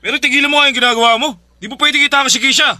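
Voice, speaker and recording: An actor playing an angry, exhausted, protective father in a Filipino radio drama, forceful and confrontational. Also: very high-pitched, fairly even intonation, very fast, no room sound, faint music behind, full character voice. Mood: stern.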